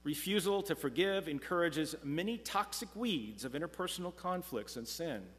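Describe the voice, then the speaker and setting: A middle-aged man preaching a sermon from a pulpit, earnest and measured, slowing down when reading scripture. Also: fairly high pitch, animated, fairly bright tone. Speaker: pastor. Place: church sanctuary, speaking from a pulpit. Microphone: pulpit mic, far camera. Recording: long church reverb.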